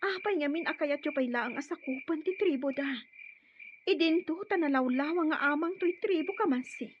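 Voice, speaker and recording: A high female voice of an actress as an earnest, pleading daughter. She has an Ilocano Filipino accent and radio play delivery, in dull audio with faint noise. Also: moderate pace, lightly acted. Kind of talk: Ilocano radio drama acting. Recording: muffled, faintly noisy radio drama audio.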